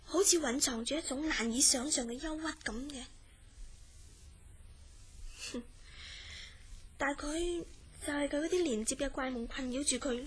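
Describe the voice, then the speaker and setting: A woman acting a concerned, inquisitive friend in a horror radio drama, questioning gently in Hong Kong Cantonese. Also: high voice, a little slow, pauses often. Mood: curious, concerned. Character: concerned, inquisitive female friend of a troubled dreamer.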